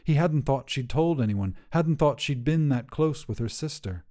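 A man's voice: medium pitch, lively intonation, normal speed, darkish tone. A man reading scripted material as the narrator of a fiction podcast.

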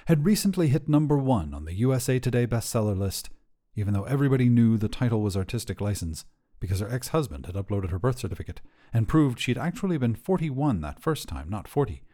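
A man narrates a short story in a mellow, soft-edged voice of medium pitch. His reading is scripted and expressive, with lively rises and falls in intonation. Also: fast talker, hardly any pauses.

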